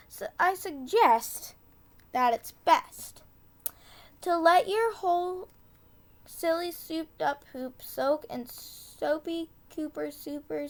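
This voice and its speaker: A woman reading a children's tongue-twister book aloud, deliberately, with casual asides and the odd corrected stumble. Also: high-pitched. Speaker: storybook reader.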